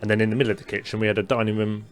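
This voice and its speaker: A man's voice: on the low side, little pitch movement. Man with a mild stutter telling a story. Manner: halting, storytelling with many fillers. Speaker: podcast co-host. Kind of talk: casual chat.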